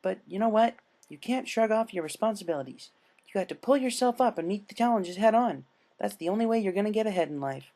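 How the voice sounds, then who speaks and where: A man's voice: high voice, lively intonation, fast, mellow, voice pushed up. A man voicing a manic, cheerfully cruel young female cartoon pony villain in a story reading, chirpy and breezily menacing. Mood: chatty pep talk. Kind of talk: fan fiction story reading.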